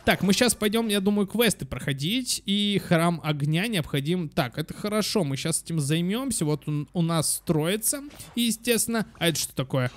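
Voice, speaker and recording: High-voiced man chatting through a game. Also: moderate pace. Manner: excitable, affectionate baby talk with diminutives. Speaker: gaming video host for children. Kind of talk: casual gameplay commentary.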